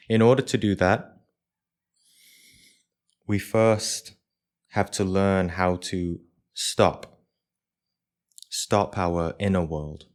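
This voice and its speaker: A man leading a live guided meditation for a group as its teacher, speaking in measured short phrases with pauses between them. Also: sits low, a little mellow.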